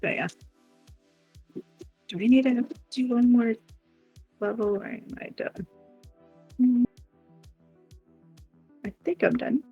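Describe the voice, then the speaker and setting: A woman's voice: fairly high pitch, fairly even intonation, takes it slowly, long pauses, breathy edge, narrow, tinny audio, faint music behind. A woman chatting casually and rambling in a giggly way on a livestream while doing crafts. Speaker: live stream co-host.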